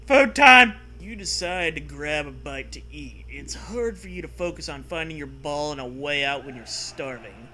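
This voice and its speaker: A man's voice: on the high side, lively intonation, normal speed, few pauses, sharp tone, slight background noise. A man co-hosting a comic read-aloud, playful and given to exaggerated emphasis between bits of banter. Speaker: co-host reading aloud.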